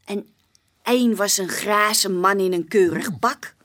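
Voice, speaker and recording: A young barmaid in a radio play. She talks informally and plainly at a middling pitch, telling what she has seen like a witness with nothing to hide. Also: a little slow, fairly bright tone. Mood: cooperative. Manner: informal, chatty, colloquial. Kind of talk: naturalistic radio play dialogue.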